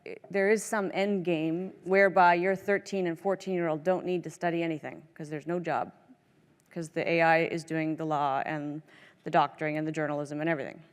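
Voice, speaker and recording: A woman's voice: sits low, smooth. A woman interviewing a guest on stage and asking probing questions in a conversational way. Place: large event hall. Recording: long hall reverb.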